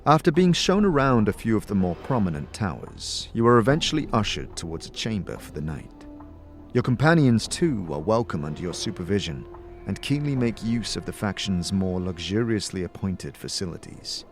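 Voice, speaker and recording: A man reading scripted fantasy narration in a deliberate, theatrical way. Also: fairly low pitch, sing-song. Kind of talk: dramatic scripted second-person fantasy narration. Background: music.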